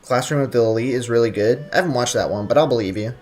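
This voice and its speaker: A man's voice: medium pitch, quick pace, a little mellow. A young man live streaming a video game, chatting casually and playfully with viewers in loose slang. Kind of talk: casual chat while gaming. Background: game music.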